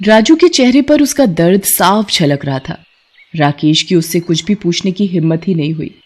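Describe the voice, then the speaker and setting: A woman's voice: on the low side, animated, moderate pace, darkish tone. A woman reading scripted narration for a children's moral story.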